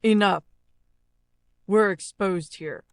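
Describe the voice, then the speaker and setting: A woman voicing a stern, commanding video game character with deliberate, scripted lines. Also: mid-range voice. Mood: stern, urgent.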